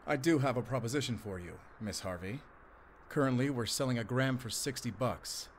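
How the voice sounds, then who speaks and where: A man's voice: mid-range voice, normal speed, slight background noise, lightly acted. A man playing an eager, businesslike manager in a drug ring, polite and deferential, in a roleplay audio drama. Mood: businesslike, confident.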